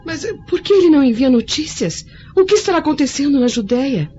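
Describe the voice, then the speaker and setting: A frail Roman lady in a Brazilian radio play. She is plaintive and anguished, with a soft dark tone. The recording is muffled, with faint noise. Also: high voice, very animated, quick pace. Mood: anxious. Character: frail, anguished blind Roman noblewoman, devout daughter. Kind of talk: radio drama acting.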